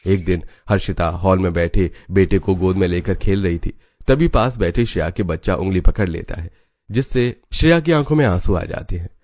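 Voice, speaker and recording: A man's voice: low-pitched, moderate pace, a little mellow, dry recording, studio quality. A man narrating a scripted family story for a cartoon.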